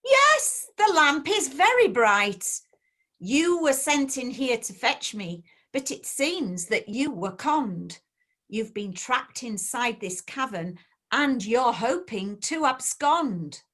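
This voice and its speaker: A woman's voice: sits high, sing-song, slow, a bit bright, full character voice. A woman playing a ditzy, cheerful genie in a pantomime, with a theatrical delivery. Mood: chirpy, pleased. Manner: theatrical, swooping. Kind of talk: pantomime acting.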